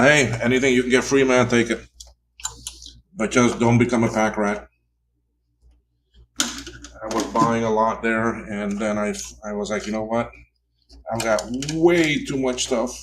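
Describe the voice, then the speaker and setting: A man's voice: on the low side, noisy background. A man in his fifties chatting casually on a livestream while repairing old computers, rambling and correcting himself as he works. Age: 50s. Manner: rambling, self-correcting, talks while tinkering.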